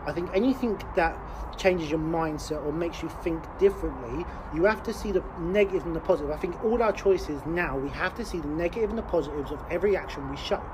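A man's voice: fairly high pitch, fast talker, noisy background. A man, co-hosting a casual podcast chat. He rambles, swears a lot and laughs often. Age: late 20s. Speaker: podcast co-host.

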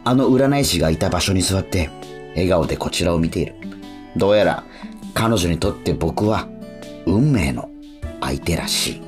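A man's voice: sits low, expressive, moderate pace, long pauses, over music. An actor playing an awkward, bewildered male musician in a radio drama, hesitant and prone to stammering. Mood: reflective. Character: awkward, bewildered young male street musician narrating his story. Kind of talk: radio drama acting and narration.

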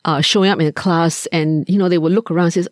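A woman in her sixties from Malaysia co-hosting a podcast. She speaks in a fairly low voice, measured and thoughtful, often pausing with small fillers as she reflects. The recording is close and dry, with no room sound. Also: steady tone, dry recording. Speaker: podcast co-host.

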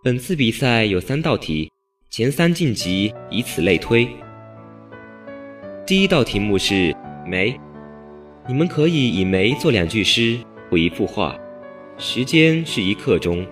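An actor playing a gracious, humble gentleman host in a Chinese period audio drama, speaking ceremoniously. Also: fairly low pitch, big pitch swings, a little slow. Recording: music behind voice.

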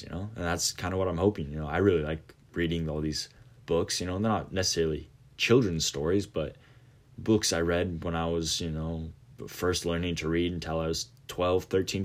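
Podcast host, late teens, male, very low voice, loose rambling delivery full of ums. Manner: rambling, many fillers and restarts. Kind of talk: casual monologue.

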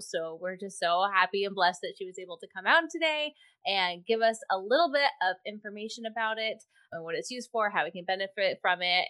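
A woman's voice: medium pitch, a bit bright. A woman from Maine hosting a podcast interview, upbeat and encouraging, with frequent agreeing murmurs. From Maine, USA. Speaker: podcast host.

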